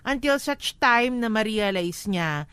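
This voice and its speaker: A woman's voice: a little high, expressive, takes it slowly, a bit bright, clean tone, no room sound. A woman with a Filipino accent co-hosting an advice show, talking conversationally in a frank, emphatic way and slipping into English. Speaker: radio advice show co-host.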